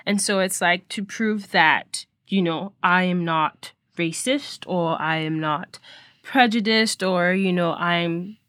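A woman's voice: on the low side, slow pace, a bit bright, no room sound, studio quality. Reflective Nigerian-raised woman. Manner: reflective, emphatic, many fillers. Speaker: podcast guest. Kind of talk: conversational discussion.

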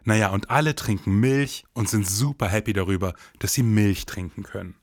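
A man's voice: lowish voice, normal speed, no echo, clean studio sound. A man in his forties co-hosting a podcast, moving between scripted narration and conversation. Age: 40s. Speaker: podcast co-host.

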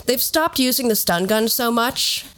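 A woman's voice: a little high, expressive, unhurried, a bit bright. A woman hosting a podcast, telling stories in a casual, conversational way with a sardonic edge and some swearing. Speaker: podcast host.

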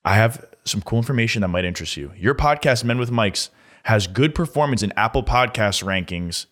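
A man's voice: on the low side, expressive, brisk, a bit bright. A man co-hosting a comedy podcast, talking in casual, slangy, joking banter.

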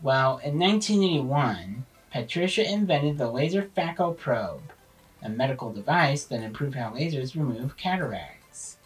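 A woman's voice: a bass voice, lively intonation, normal speed. A woman reading a scripted factual segment aloud, recorded at some distance from the microphone. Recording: distant mic with faint music.

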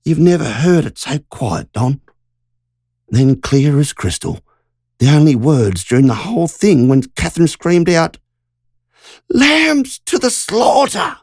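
A woman's voice: low voice, very animated, unhurried, darkish tone, slightly rough, no echo, clean studio sound, pitched above the performer's own voice, full character voice. A narrator voicing Margie, a shaken country woman who tells a frightening story in an urgent, breathless rush. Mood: frightened.

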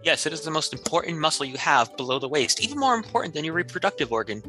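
Bright, high-voiced man improvising radio ads. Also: animated, fast, faint music behind. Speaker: improv comedy performer. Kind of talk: improvised parody radio commercials.

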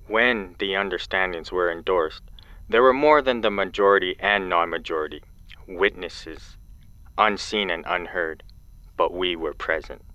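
Very deep, solemn otherworldly emissary. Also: male voice, pushed and bright, dry recording, highs cut off. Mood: grave, cryptic. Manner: grave, oracular, measured pronouncements. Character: solemn otherworldly male spirit emissary, stern and judging. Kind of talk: scripted audio drama acting.

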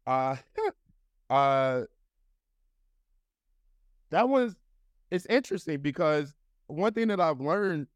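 A man's voice: mid-range voice, clean tone, no echo. Rambling man in his thirties, dry sound. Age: 30s. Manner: loose, rambling, heavy with fillers like "you know" and "like". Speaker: interview guest. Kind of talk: casual conversational interview.

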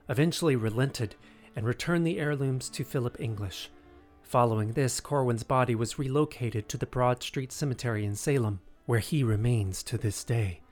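Male narrator for historical audio drama: mid-pitched, measured, dramatic scripted delivery, faint music underneath. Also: darkish tone.

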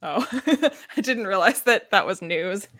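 A woman's voice: medium pitch, expressive, unhurried, fairly bright tone, no echo, clean studio sound. A woman co-hosting a podcast, casually discussing books in an analytical, explanatory and wry manner. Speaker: podcast co-host.

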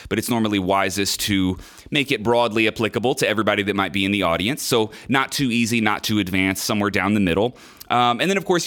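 A man talks on a podcast with a nasal, stuffed-up sound from a sinus infection he is getting over. His speech is candid and chatty, full of fillers and repeated words, in a voice of ordinary pitch. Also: a bit bright. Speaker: podcast host and drummer. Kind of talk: casual podcast monologue.

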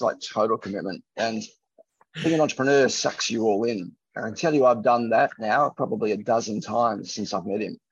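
A man's voice: on the low side, vocal fry, slightly rough, no echo. A middle-aged man speaking as an entrepreneur on a panel, telling anecdotes in an emphatic, conversational way. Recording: lo-fi, muffled audio.

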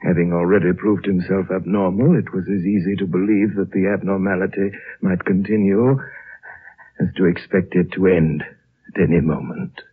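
Deep, husky ancient priest. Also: male voice, steady tone. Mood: measured, wondering. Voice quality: husky, breathy. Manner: slow, solemn, hushed storytelling with long pauses. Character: ancient, serene, mysterious priest and High Lama. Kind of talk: 1930s radio drama acting. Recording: muffled, narrow-band old 1930s radio recording.

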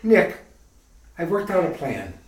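A man's voice: a little high, very animated, slow, a little mellow. A man playing an earnest, eager young bridegroom in a retro radio comedy. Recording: echoey room, distant from mic.

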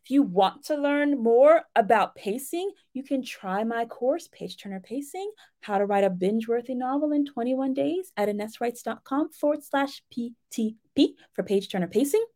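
A woman's voice: a little high, animated, moderate pace, few pauses, mellow. A woman giving a casual instructional talk as a writing coach, speaking directly and encouragingly to her listeners in a conversational way.

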